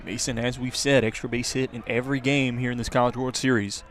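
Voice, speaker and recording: A man's voice: mid-range voice, little pitch movement, fairly quick, a bit bright. A man calling a baseball game live as a radio play-by-play announcer. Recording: outdoor ballpark crowd and ambient noise. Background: ballpark crowd.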